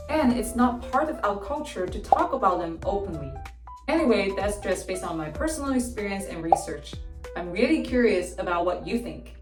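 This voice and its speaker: Woman from China, vlog narration. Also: medium pitch. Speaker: vlogger. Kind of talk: scripted personal vlog narration. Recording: roomy echo from a distance, faint music.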